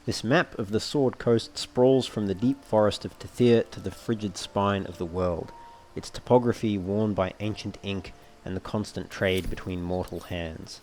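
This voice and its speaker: A man's voice: fairly low pitch, moderate pace, few pauses, a little mellow, a little hiss or hum. A man giving casual commentary over a video game, sometimes reading item descriptions aloud. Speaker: let's play host.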